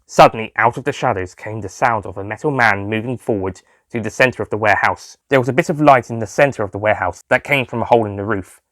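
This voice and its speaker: A man's voice: lowish voice, fast, hardly any pauses, a bit bright, no room sound. A man reading scripted sci-fi fiction as its author and narrator.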